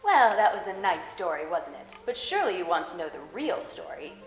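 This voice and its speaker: A woman's voice: fairly low pitch, big pitch swings, brisk, music in the background, poor audio. A woman hosting and narrating fairy tales, addressing viewers in a playful, theatrical way. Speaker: host of a fairy tale web show. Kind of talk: scripted storytelling narration to viewers.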